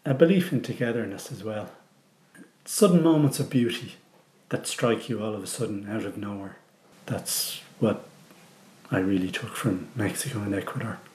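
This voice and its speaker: Dublin man, 40s, low voice, reflective recorded testimony, roomy echo. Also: normal speed, darkish tone, slight background noise. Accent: Dublin Irish. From Ireland. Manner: reflective, loose, with many ums and restarts. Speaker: interviewee.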